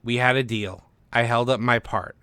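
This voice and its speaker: A man's voice: mid-range voice, steady tone, normal speed, pushed and bright, clean tone, dry recording, close to an everyday voice. A man voicing a blunt, sarcastic, pragmatic literary agent in a short story reading, arguing emphatically and skeptically. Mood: insistent, weary.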